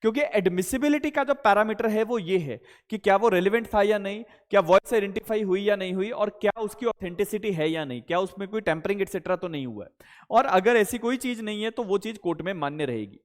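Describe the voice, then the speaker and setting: A man's voice: high voice, expressive, a little fast. A man with an Indian accent giving an explanatory law lecture, posing questions and answering them in a didactic way, mixing in English legal terms. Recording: long hall-like reverb.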